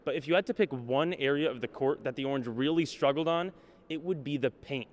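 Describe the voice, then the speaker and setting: A man's voice: medium pitch, fairly quick. A man working as a sports reporter and play-by-play announcer, delivering scripted reports and game commentary.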